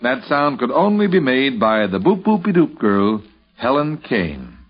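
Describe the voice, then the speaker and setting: A man presents a 1950s radio retrospective as its host. His medium-pitched voice is lively in intonation, with the dull sound of a vintage broadcast recording. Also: a little slow.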